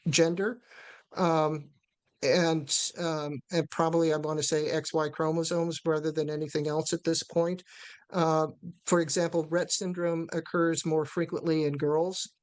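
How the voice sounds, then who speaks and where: A woman's voice: low-pitched, a little mellow. Hesitant woman presenter, full of fillers. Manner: hesitant, many fillers and restarts. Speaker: webinar presenter on interpreting. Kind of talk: live webinar Q&A answers.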